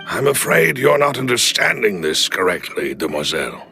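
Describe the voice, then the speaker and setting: Grave, gravelly loyal retainer. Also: male voice, fairly low pitch, big pitch swings, bright, forceful tone, soft background music, exaggerated voice. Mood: solemn, gentle. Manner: formal, measured, deliberate phrasing. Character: loyal, deferential older male retainer, grave and gentle. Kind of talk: dramatic video game cutscene acting.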